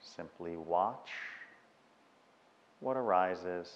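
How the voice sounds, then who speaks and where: A man leads a guided meditation in a very low voice. He speaks very slowly and measures out each instruction, leaving long pauses. The sound carries a long, lingering reverb. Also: highs cut off.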